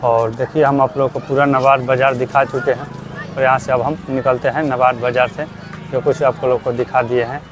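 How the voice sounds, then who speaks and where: A young man from Bihar walks through an outdoor fair talking into a lapel mic. He narrates casually and repeats his points. His voice sits at a middle pitch, and his questions to vendors come out loud. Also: flat delivery, a little fast, music in the background. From Bihar, India. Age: young adult. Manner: repetitive, asks vendors prices loudly. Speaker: vlogger. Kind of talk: walking market vlog narration. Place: outdoor crafts fair among stalls. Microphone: clip-on lapel mic.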